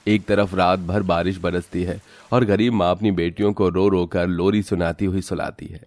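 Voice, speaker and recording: A man's voice: sits low, fairly even intonation, moderate pace, a little mellow, dry recording. A man reading scripted narration for a dramatic story in a storyteller's manner.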